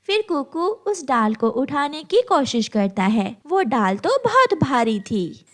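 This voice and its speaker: A woman's voice: high-pitched, big pitch swings, takes it slowly. A woman reading scripted narration for a children's cartoon story.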